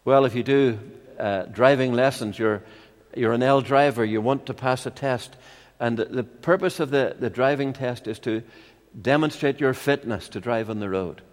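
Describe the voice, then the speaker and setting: An older man with a Northern Irish accent preaching a sermon in a measured, expository way, with rhetorical questions and personal anecdotes. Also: mid-range voice. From Ireland. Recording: long hall reverb.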